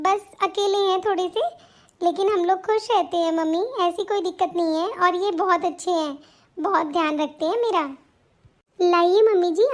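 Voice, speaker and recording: A woman voicing a sweet, dutiful young daughter-in-law in a melodramatic family cartoon, earnest and deferential. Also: extremely high pitch. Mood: sweet, caring.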